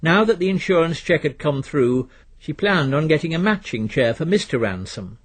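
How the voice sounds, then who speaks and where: A man reading literary fiction as a narrator, in a measured, precise and dry storytelling manner. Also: a little high, animated, slightly rough. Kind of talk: scripted literary audiobook narration.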